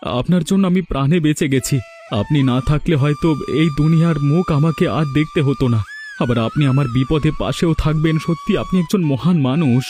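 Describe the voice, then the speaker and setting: Wronged young village hero for cartoon, played by a man: earnest, determined, soft dark timbre, slightly high. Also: moderate pace, soft background music, close to an everyday voice. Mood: grateful. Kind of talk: cartoon story drama.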